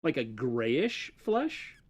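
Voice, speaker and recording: A man's voice: medium pitch, sing-song, very slow, breathy edge. A man explaining model painting techniques in casual livestream talk, often checking in with "right?". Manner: explaining, fillers and restarts, says "right?".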